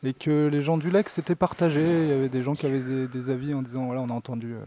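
A man hosting a school radio show, introducing segments and summing up at a relaxed pace with many fillers. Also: medium pitch, fast talker.

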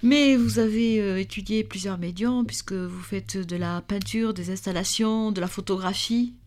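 A woman interviews a guest in a conversational way. Her pitch is average for a woman. She prompts often, rewording her questions and supplying words as she goes. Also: moderate pace, darkish tone.

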